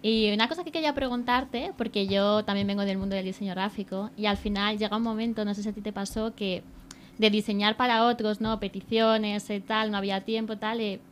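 A woman's voice: mid-range voice, fairly even intonation, fairly quick. Female radio co-host, affirming and searching for words, faint noise. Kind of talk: casual radio interview.

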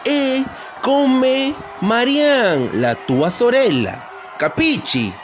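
Slow, swooping Italian seducer. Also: male voice, very high voice, very animated, crowd noise, noisy background, full character voice. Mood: wheedling, romantic. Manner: wheedling, mixes Italian with Spanish. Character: smooth-talking, sly Italian immigrant seducer. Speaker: radio drama actor. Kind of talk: radionovela acting.

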